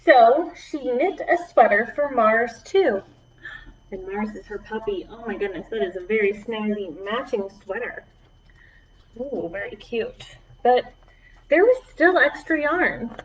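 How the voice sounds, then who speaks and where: A woman's voice: a little high, lively intonation, a little slow, highs cut off. A woman children's librarian reading aloud to preschoolers, with encouraging asides to the little listeners. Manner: encouraging, addressing small children.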